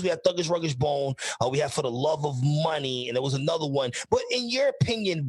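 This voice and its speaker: A man's voice: fairly high pitch, breathy edge, no echo. A man hosting a live interview show, asking long multi-part questions and relaying viewer questions. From United States. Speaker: interview host.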